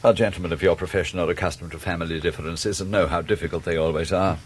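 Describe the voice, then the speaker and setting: An actor playing a stern, menacing middle-aged Victorian gentleman in a radio drama, with an English accent and a formal, measured, disdainful manner. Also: deep voice, steady tone, a little hiss or hum, close to an everyday voice.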